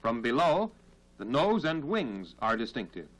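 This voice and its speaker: An American man narrating a 1940s military training film in a formal, measured, instructional manner, on a period film soundtrack. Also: medium pitch, sing-song, low-quality recording.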